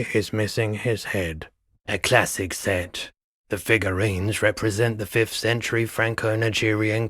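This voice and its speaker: An actor playing a weary, mild-mannered pawnshop owner no longer young in a video game, speaking in an unhurried, low-key, dryly polite way. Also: male voice, fairly bright tone, dry recording, clean studio sound. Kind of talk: video game dialogue acting.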